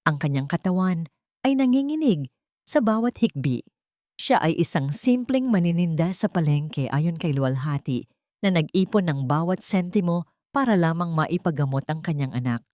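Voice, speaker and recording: A woman's voice: lowish voice, expressive, normal speed, a little mellow, dry recording, clean studio sound. A woman reading a dramatic scripted story as its narrator.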